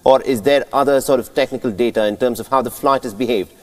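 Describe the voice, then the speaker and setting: A man's voice: mid-range voice, fast. A man anchoring a live breaking news bulletin in a formal, wordy manner.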